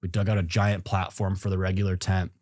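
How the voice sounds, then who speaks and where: A man's voice: deep voice, flat delivery, fairly quick, darkish tone, no echo, studio quality. A man telling a story as a podcast guest, easygoing and rambling, with lots of fillers and restarts. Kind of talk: casual storytelling in an interview.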